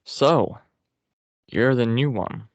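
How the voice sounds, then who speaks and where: A man's voice: medium pitch, extremely slow, dry recording. A man voicing a condescending, world-weary scrap-paper General in an amateur table read. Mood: ominous. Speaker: actor in a script table read. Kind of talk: amateur table read over a group call. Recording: dry, dull group-call audio.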